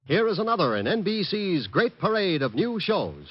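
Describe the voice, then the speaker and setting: Animated, high-voiced American radio announcer man. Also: normal speed, faint music behind. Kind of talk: 1940s scripted radio announcing. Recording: dull old radio recording.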